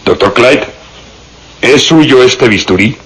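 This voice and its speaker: An actor playing a stern, methodical police inspector in a radio drama, speaking formally and with authority. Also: male voice, mid-range voice, takes it slowly, fairly bright tone, a little hiss or hum, rough recording. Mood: methodical, pressing. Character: stern, methodical, officious male Scotland Yard police inspector.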